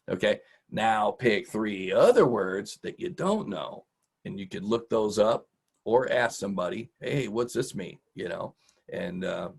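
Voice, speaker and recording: A man's voice: fairly low pitch, vocal fry, slightly rough. A middle-aged man hosting an informal, encouraging vocabulary lesson for children in a folksy, casual way. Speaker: host, teacher.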